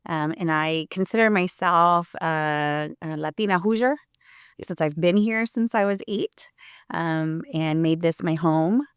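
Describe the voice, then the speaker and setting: A woman in her forties from Puerto Rico, an attorney talking as a guest in an earnest, casual interview, with many pauses and restarts. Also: fairly low pitch, clean tone, no echo, studio quality.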